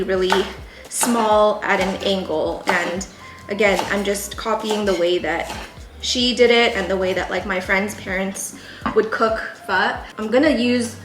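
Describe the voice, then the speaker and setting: A young woman from California hosting a casual cooking tutorial, chatty and rambling with lots of fillers, recorded in a home kitchen. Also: mid-range voice. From San Jose, California. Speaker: cooking video host. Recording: echoey kitchen with cooking clatter. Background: pots, dishes and knife clicks.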